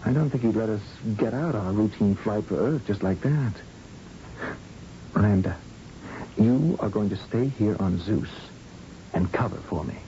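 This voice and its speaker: An actor playing a secretive, commanding, calculating scientist-spy in an old-time radio drama. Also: male voice, fairly low pitch, expressive, moderate pace, pauses often, warm tone, natural voice. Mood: urgent, secretive. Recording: muffled old radio drama, faint hiss.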